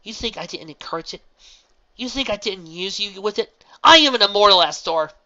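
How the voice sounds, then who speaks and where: Reader playing a remorseful immortal princess, grave, bright tone. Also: medium pitch, big pitch swings, brisk, lo-fi sound, pitched above the performer's own voice. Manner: grave, pleading, sometimes hushed.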